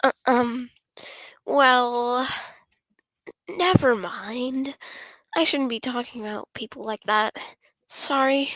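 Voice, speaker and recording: A woman's voice: on the high side, takes it slowly, long pauses, fairly bright tone, dry recording, low-quality recording. A woman voicing a shy, apologetic young woman from a literature club in a visual novel game, with a hesitant, stammering delivery. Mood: earnest, hesitant.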